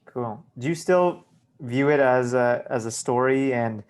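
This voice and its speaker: A man hosting a podcast in a casual interview, polite and measured as he thanks his guest. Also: medium pitch, clean tone. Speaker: podcast host.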